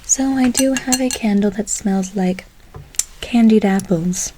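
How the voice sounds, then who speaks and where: A woman's voice: mid-range voice, warm tone, slight background noise, natural voice, poor audio. Whispering, doting witch played by a woman. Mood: doting, earnest. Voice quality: breathy whisper. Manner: slow, soothing, repeats words, calls listener darling. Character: gentle doting forest witch casting a spell. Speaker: ASMR performer. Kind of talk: whispered ASMR role play.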